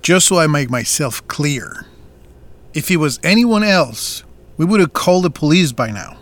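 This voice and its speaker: A stern co-owner of a family farm in an audio drama. His voice sits a little high for a man, and he speaks firmly and deliberately, pressing his questions. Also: animated, fairly bright tone. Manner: firm, measured, confronting.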